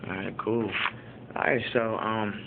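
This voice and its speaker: A man interviewing a fellow student in a casual, halting way, restarting his questions and using many fillers. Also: lowish voice, flat delivery, gravelly. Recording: tinny phone-like line with faint background noise.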